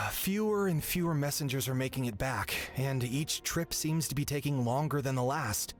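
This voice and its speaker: A man's voice: mid-range voice, moderate pace, soft background music, natural voice. A man voicing a friendly but worried young messenger in a video game, in an earnest, conversational way.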